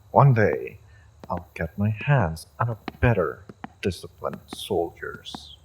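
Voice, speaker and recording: A man's voice: sits low, expressive, extremely slow, long pauses, slight background noise, full character voice, poor audio. A man playing a haughty evil sorcerer in a fantasy roleplay audio drama, theatrical, condescending and musing. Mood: musing, smug. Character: haughty evil male sorcerer leading a raid.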